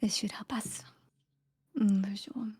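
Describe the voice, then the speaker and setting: A woman voicing a haughty, dry fancy lady adventurer in a tabletop game, breathy, hesitant and condescending. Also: mid-range voice, fairly even intonation, unhurried. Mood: careful, wry. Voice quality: breathy, hushed. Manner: hesitant, condescending, breaks into small laughs. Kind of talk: in-character tabletop roleplay.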